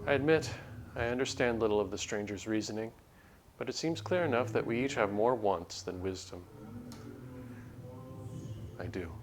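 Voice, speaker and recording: A man livestreaming a game, chatting casually and reading story text aloud in a measured, literary way. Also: lowish voice, lively intonation, noisy background. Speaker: game streamer. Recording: background music under the voice. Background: music.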